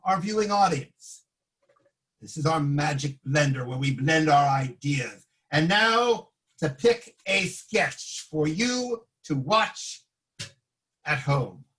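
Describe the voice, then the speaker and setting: A man's voice: high-pitched, a bit bright, rough recording. A man hosting a comedy show, giving a playful introduction in an exaggerated, theatrical delivery.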